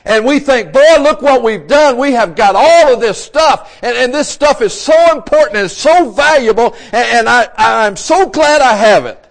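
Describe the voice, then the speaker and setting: A man in his sixties preaches with a high, light voice. He talks in a folksy way, often repeating himself and restarting words as he builds his points. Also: animated, normal speed, few pauses, poor audio. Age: 60s. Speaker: pastor. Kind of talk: sermon.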